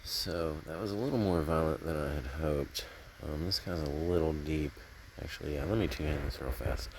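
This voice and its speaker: A man's voice: very deep voice, normal speed, a little mellow, a little hiss or hum, poor audio. A man casually narrating hands-on garden work outdoors, rambling and thinking aloud as he goes, recorded on a handheld camera. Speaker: gardening vlogger.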